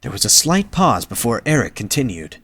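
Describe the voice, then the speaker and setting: A man's voice: medium pitch, big pitch swings, fairly quick, a bit bright, breathy edge. A man reading scripted narration for an audio drama as its narrator.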